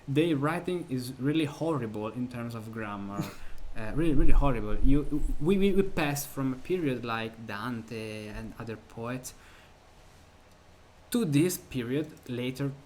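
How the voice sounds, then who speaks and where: A man's voice: mid-range voice, expressive, a little slow, a little mellow, slight background noise. A man from Italy speaking English with an Italian accent, talking casually about an old music book as host, with many restarts and easy laughter. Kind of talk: casual discussion of a music treatise.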